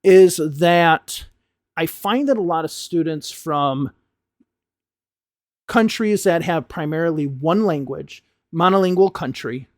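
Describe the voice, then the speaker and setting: A man's voice: on the high side, slow pace, dry recording, studio quality. An American man, an English teacher, giving a frank, impassioned talk to his learners with emphatic stresses. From United States. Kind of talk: talking-head video lesson.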